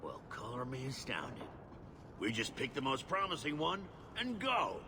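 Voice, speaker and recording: A man voicing a gruff, suspicious, money-minded dwarf expedition boss in a video game, blunt and brusque. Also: medium pitch, wildly swooping pitch, measured pace, background noise. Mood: impressed, eager. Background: loud music.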